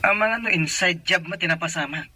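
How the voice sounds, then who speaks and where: A man's voice: fairly high pitch, fairly quick, sharp tone. A man playing a young sweetheart on a phone call in an audio drama, with an Ilocano accent. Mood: concerned.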